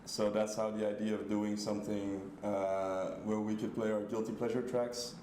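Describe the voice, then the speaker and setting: Man, DJ, relaxed dark tone, casual interview chat, roomy echo, faint noise. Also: on the low side, moderate pace. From Antwerp, Belgium. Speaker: DJ and label founder being interviewed. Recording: echoey room with faint background noise.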